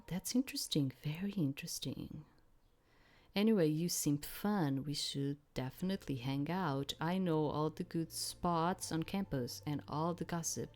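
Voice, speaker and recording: A woman's voice: lowish voice, a little mellow. A woman streaming a game, reading its text aloud and adding casual, rambling commentary as she searches for words.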